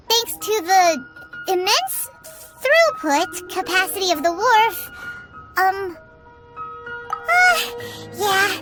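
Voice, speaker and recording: A woman voicing a small, excitable, bossy fairy-like girl guide in a video game, with a squeaky childlike voice. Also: exaggerated voice. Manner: refers to self in third person, exclamatory. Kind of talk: video game character acting. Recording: loud music under the voice.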